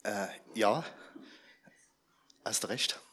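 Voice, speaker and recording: A slightly hoarse man who is under the weather gives a chatty, informal talk to a gathered congregation and keeps asking them questions. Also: on the low side, drawn-out words, pushed and bright. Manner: chatty, asks the audience questions.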